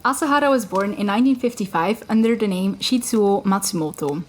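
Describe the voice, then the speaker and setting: A woman's voice: mid-range voice, brisk. A woman co-hosting a true-crime podcast, narrating from her research in a casual way, with fillers and hedges. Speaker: podcast co-host.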